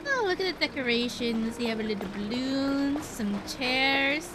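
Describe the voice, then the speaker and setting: A woman's voice: high voice, lively intonation, moderate pace, rough recording. A woman streaming a game, chatting casually in a playful, informal way. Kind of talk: casual livestream gameplay chat. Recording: game music behind voice.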